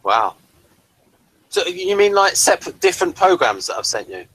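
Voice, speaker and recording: A man with an English accent explaining things in casual discussion, often halting and rephrasing as he goes. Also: high-pitched, sing-song, fairly bright tone, breathy edge, no echo, rough recording. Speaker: podcast reviewer.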